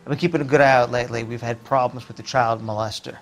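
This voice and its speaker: Man acting plain-spoken American witness, respectful, watchful, medium pitch, crime game. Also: fairly even intonation, quick pace, a little hiss or hum, natural voice. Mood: earnest, deferential. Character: deferential, watchful male school witness.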